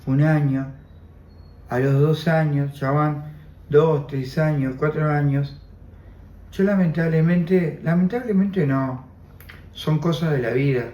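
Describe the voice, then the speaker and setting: A man's voice: on the high side, slow, pauses often, a little mellow, reverberant, lo-fi sound. A man in his seventies with an Argentine Spanish accent, Armenian as his first language, giving an oral history testimony, reflective and repeating his phrases. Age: 70s. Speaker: interviewee.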